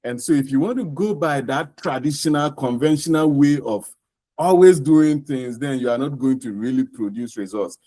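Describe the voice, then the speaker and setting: A man with a Ghanaian accent answering interview questions off the cuff, in deliberate, halting and emphatic phrases. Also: mid-range voice, dark tone, no echo. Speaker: interview guest, scholarship winner.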